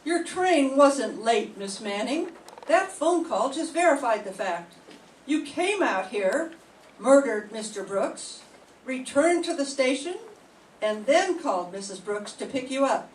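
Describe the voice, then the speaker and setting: An older woman reading a shrewd, measured police inspector. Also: sits high, expressive. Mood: stern, probing. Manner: measured, deliberate questioning. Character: shrewd, calm police inspector questioning suspects. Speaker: student in a radio drama class. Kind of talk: 1940s radio mystery acting. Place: classroom. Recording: echoey room, distant mic, faint hiss.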